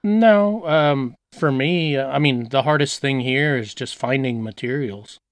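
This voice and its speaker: A man's voice: mid-range voice, unhurried, no echo. Laid-back American man, dry sound. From United States. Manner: laid-back, joking, acts out quotes. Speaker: interview guest. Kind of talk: casual interview chat.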